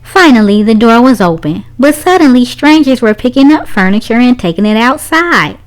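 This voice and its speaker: A woman narrates a children's storybook in a fairly high voice. Her pitch moves up and down in a very lively way, and her delivery is expressive, shaped for young listeners. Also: moderate pace, rough recording. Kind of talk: read-aloud story narration.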